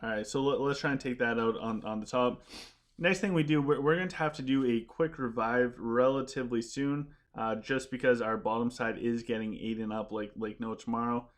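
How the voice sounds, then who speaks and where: A man streaming a strategy game, talking casually as he plays and answers chat. His delivery rambles with lots of restarts, and his voice is wearing out and getting rough. He sits at a middle pitch for a man. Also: brisk. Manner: chatty, rambling, frequent restarts while playing. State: losing his voice. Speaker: gaming live streamer. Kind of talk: casual live-stream commentary.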